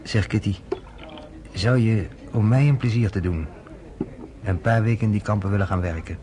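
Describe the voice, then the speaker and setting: An actor playing a calm, worldly journalist who talks persuasively with a wry edge, in a radio play. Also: male voice, on the low side, normal speed, noisy background, lightly acted.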